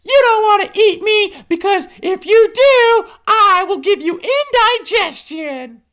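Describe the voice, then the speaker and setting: A man voicing a bossy female hippopotamus in a shrill, shouted, very high voice. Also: animated, unhurried, heavily acted. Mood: angry shrill. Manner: shouting, very high squeaky put-on voice.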